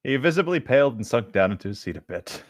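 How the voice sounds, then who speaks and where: A man's voice: mid-range voice, very animated, fast talker, no room sound, studio quality. A man co-hosting casually, bantering and reading narration, a little hesitant and self-deprecating. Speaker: podcast co-host reading fan fiction aloud.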